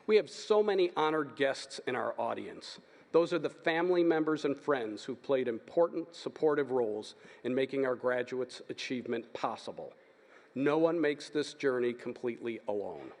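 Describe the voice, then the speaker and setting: A man giving formal, steady announcements as presenter at a graduation ceremony. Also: medium pitch, normal speed. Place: large hall. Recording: long reverb of a large hall.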